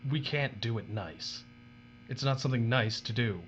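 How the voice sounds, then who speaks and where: An actor playing a distraught husband in an audio drama, faltering and pleading. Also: male voice, mid-range voice, normal speed, fairly bright tone, close to an everyday voice. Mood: desperate. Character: distraught, desperate husband forced into grim labor. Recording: dull recording with humming mains noise.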